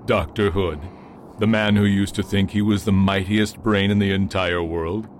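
Brief: male actor as a low-voiced, inquisitive friend with faint background noise. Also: fairly even intonation, lightly acted. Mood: curious. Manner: prompting, unhurried questions. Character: curious gentleman friend, calm and dry. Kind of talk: amateur radio drama.

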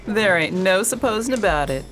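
A woman acting a brash, animated party girl. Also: medium pitch, soft background music, close to an everyday voice, poor audio. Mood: teasing. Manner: sassy, slangy, drawn-out teasing. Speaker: actor in a radio play. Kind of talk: radio theatre acting.

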